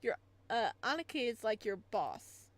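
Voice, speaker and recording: A woman reading visual novel lines as a slangy, emphatic young male bar host sharing excited street gossip. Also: fairly high pitch, measured pace, dry recording. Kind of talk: visual novel dialogue read aloud.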